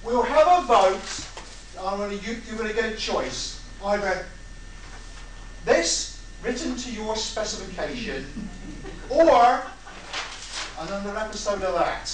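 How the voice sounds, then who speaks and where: A man's voice: sits high, big pitch swings, measured pace, long pauses. A man hosting a casual brainstorming session, taking suggestions from an audience. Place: large echoey room at a convention. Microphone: distant microphone. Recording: echoey noisy room, distant mic.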